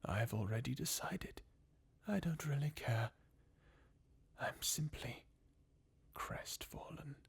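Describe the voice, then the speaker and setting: Fan actor as crestfallen undead game warrior: slow, swinging intonation, breathy, bleakly mocking. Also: mid-range voice, animated, heavily acted. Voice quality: breathy, husky half-whisper with creak. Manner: sardonic, weary, chuckling bleakly. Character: jaded, mocking undead warrior, sardonic and defeatist. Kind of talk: fan redub of video game dialogue, ASMR-like.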